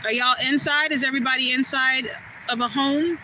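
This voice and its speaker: A woman with a smooth, soothing voice, chatting casually with viewers during a live stream and answering their comments as she goes. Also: sits high, a little fast. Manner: relaxed, rambling, talks back to chat comments. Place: outdoors on a quiet street. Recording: noisy outdoor phone recording.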